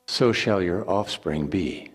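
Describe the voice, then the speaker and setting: A man's voice: sits low, slow, slightly rough, in a large hall, voice pushed down, heavily acted. A man voicing God in a dramatic scripture reading, solemn, authoritative and emphatic in his declarations. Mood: solemn promise. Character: God, solemn authoritative male giving promises and commands.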